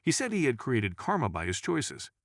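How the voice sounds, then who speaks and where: A man's voice: medium pitch, huge pitch swings, normal speed, no room sound, studio quality. A man reading a scripted first-person paranormal story as a narrator.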